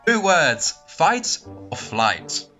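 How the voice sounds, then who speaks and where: A performer playing a stern older male dragonfly teacher giving a measured lecture in a fan script reading. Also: medium pitch, huge pitch swings, sharp tone, faint music behind, exaggerated voice.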